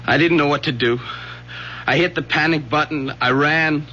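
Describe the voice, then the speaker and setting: A man playing a scared, guilty young son in a radio drama, agitated and halting. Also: on the high side, steady tone, pushed and bright. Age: young adult. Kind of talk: 1970s radio drama acting. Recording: dull, slightly noisy old radio recording.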